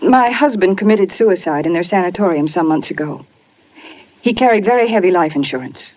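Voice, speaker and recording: An actress playing a seductive, anxious wife with theatrical pleading in a 1950s radio melodrama. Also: female voice, fairly low pitch, expressive, fairly quick. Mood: fearful confession. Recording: muffled 1950s radio recording, faint music.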